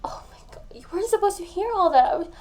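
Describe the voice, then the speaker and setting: A woman acting a tipsy, lovesick young woman confessing to her best friend in an intimate audio roleplay, soft and breathy, halting and often near a whisper. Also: very high voice, animated. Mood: flustered, defensive. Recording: faint background noise.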